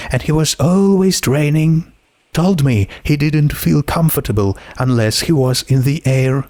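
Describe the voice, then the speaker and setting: A man's voice: on the high side, a little mellow, pitched above the performer's own voice. A man voicing a friendly, homesick teenage girl in a storybook, talking in a casual, chatty way.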